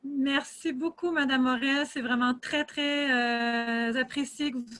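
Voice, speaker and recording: Woman moderator, high voice, loud and emphatic. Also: fairly even intonation, measured pace. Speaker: host. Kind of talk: moderating an online meeting.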